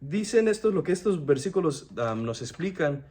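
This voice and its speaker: Earnest man, higher voice. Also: big pitch swings, warm tone. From United States. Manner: earnest, halting, frequent restarts. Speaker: devotional speaker. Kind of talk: devotional sermon.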